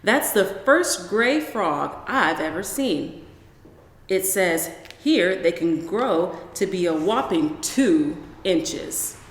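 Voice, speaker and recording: A woman reading a children's story as a calm, proud father, reassuring and good-humoured. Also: fairly low pitch, takes it slowly. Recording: roomy echo with long reverb.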